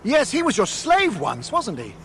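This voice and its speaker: A man's voice: extremely high pitch, wildly swooping pitch, normal speed, slight background noise, heavily acted. A man playing a talkative male dwarf rebel in a fantasy game, confident, flippant and wry. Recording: loud game music behind.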